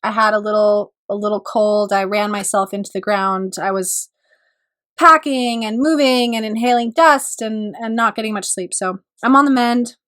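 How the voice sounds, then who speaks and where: A woman astrologer giving a reflective solo talk, her voice still recovering from a cold, with pauses and throat clearing. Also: medium pitch, a bit bright.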